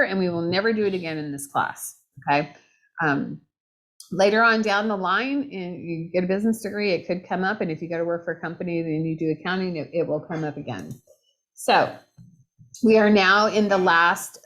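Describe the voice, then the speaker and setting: Woman instructor, slightly low voice, losing her voice, chatty lecture style that keeps asking if you follow. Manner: conversational, checks in with "right?" and "okay?". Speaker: accounting instructor. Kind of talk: recorded lecture.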